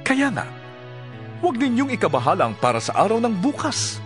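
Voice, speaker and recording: An actor playing an earnest male teacher preaching to followers in a dramatized scripture reading, solemn, deliberate and expressive. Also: very high-pitched, full character voice. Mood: reassuring, urging. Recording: background music under the voice. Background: music.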